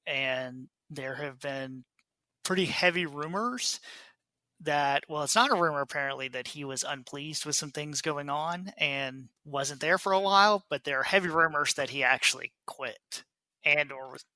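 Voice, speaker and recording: A man hosting a podcast, chatting casually in an animated, opinionated and informal way. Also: a little high, normal speed, dry recording. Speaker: podcast host. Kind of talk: casual panel chat about a TV trailer.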